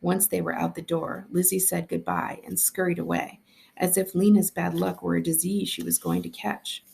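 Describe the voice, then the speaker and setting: A woman reading a story aloud as a school librarian, in an expressive narrating style. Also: lowish voice, normal speed, creaky.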